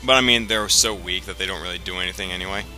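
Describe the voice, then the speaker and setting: A man's voice: low-pitched, quick pace, sharp tone. A man giving casual, rambling, explanatory commentary as host of a video game playthrough. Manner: rambling, explanatory, frequent profanity. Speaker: let's play host. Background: video game music and sound.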